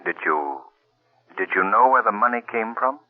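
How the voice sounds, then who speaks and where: A low, gravelly, husky voice of a mysterious invisible avenger, whose pitch rolls up and down with calm authority. It comes through a tinny, narrow old radio broadcast. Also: male voice, moderate pace, no room sound, exaggerated voice. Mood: gently probing. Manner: calm, commanding, reassuring. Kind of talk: 1940s radio acting.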